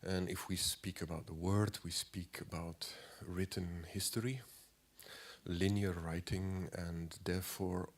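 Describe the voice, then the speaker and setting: A man in his forties with a cold, giving a halting lecture as an artist, clearing his throat often and filling pauses. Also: low-pitched, fairly even intonation. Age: 40s. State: has a cold.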